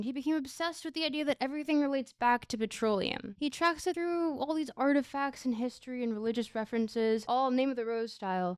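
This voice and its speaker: A woman with a high voice narrates a scripted video essay. Her delivery is conversational and irreverent, full of sardonic asides. The sound is dry, with hardly any room in it. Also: a little mellow, clean tone, no echo.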